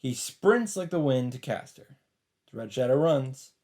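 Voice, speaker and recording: A man's voice: medium pitch, animated, a little slow, a little mellow. A man doing a let's play, reading visual novel narration aloud with casual, sometimes sweary commentary. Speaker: let's player.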